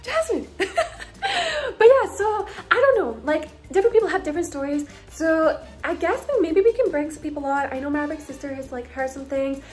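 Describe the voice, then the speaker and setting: A woman's voice: high voice, animated, brisk, darkish tone. A young woman in her twenties giving a casual, giggly house tour to her viewers, chatting into a phone held at arm's length in a bedroom. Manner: giggly, bubbly, lots of "like" and "you guys".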